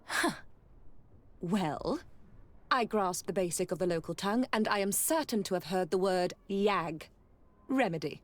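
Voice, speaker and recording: An actress playing a formal, articulate expedition scholar in a fantasy game. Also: female voice, mid-range voice, lively intonation, a little slow, long pauses, close to an everyday voice. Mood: thoughtful. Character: formal female expedition scholar, freed from captivity. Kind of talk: scripted game cutscene acting.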